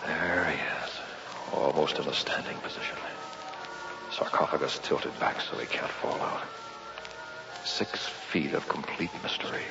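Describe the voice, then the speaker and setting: An actor playing a watchful investigator in an old radio drama, speaking in clipped, dramatic phrases. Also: male voice, very deep voice, creaky, exaggerated voice. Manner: clipped musing monologue, dramatic. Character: weary, watchful male investigator guarding a museum at night. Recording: muffled, echoey vintage radio recording.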